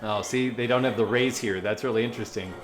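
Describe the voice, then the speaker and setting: A man hosting a reaction video, chatting casually and musingly in halting asides as he comments on a cartoon. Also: medium pitch, steady tone, soft background music.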